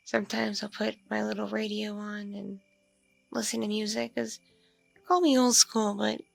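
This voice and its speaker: A woman playing a mature, flirtatious, spacey female vampire in an ASMR roleplay, speaking softly and hesitantly, as if in a one-sided intimate conversation. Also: medium pitch, fairly even intonation, vocal fry, dry recording, soft background music. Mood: relaxed, self-deprecating. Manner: hesitant, murmuring, lingering pauses with ums and mms. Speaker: roleplay voice performer.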